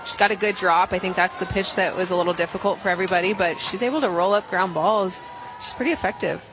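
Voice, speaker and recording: A woman giving conversational color commentary as an analyst on a softball broadcast. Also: fairly low pitch, quick pace. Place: outdoor softball ballpark broadcast position. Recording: crowd noise and music behind broadcast. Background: ballpark crowd and music.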